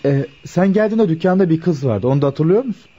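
An earnest young shopkeeper in a radio play, conservative and a little hesitant. He talks fast in a husky, mellow voice, and moralizes politely. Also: a little high, expressive. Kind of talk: Turkish radio drama acting. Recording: dull, lo-fi radio drama recording.

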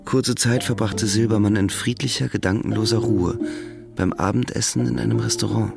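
A man narrating a literary audio drama in a measured, sober way. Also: lowish voice, fairly even intonation, vocal fry, music in the background.

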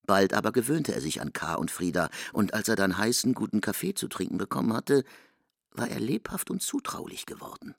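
Dramatic male literary narrator, creaky low-leaning voice, dry close sound. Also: very animated, fairly quick, no echo.

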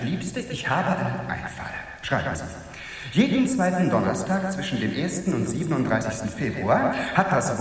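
A man's voice: mid-range voice, close to an everyday voice. An actor playing an office clerk who dreams he is an imperious director general, in a 1970s radio drama. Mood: grandly jovial. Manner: imperious, pompous, barking orders. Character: man playing a pompous dream tycoon. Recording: echoey hall sound, voice set far from the mic.

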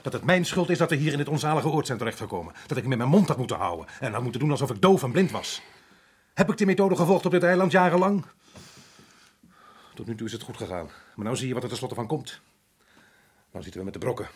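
An actor playing a harried, indignant middle-aged colonial resident in a radio drama, exasperated and sarcastic. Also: male voice, medium pitch, sing-song, quick pace, husky.

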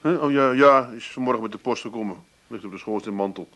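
An actor playing a flustered, plaintive grown son with an Amsterdam accent in a radio comedy. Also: male voice, mid-range voice, quick pace, fairly bright tone, lightly acted. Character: hapless, whiny grown son, easily upset.

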